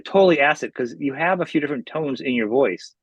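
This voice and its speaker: A man's voice: mid-range voice, lively intonation, fast, breathy edge, dry recording, highs cut off. A man hosting a podcast, asking chatty, eager questions. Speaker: podcast host. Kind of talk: casual interview questions.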